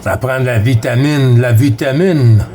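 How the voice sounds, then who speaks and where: A man's voice: medium pitch, normal speed. A man with a Quebec French accent chatting casually as a CB radio operator, making contacts over the air. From Quebec, Canada. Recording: noisy radio static, clicks.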